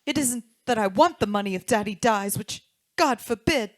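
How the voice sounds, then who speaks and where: Actress as anxious young wife, lightly high voice. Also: female voice, animated, moderate pace, no room sound, clean studio sound, natural voice. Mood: earnest. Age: young adult. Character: anxious, well-off married woman in her late twenties. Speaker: radio drama actor. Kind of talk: old-time radio drama acting.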